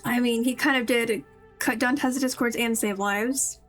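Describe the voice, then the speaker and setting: High-voiced woman game streamer. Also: fairly even intonation, normal speed, fairly bright tone, soft background music. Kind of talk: casual live game commentary.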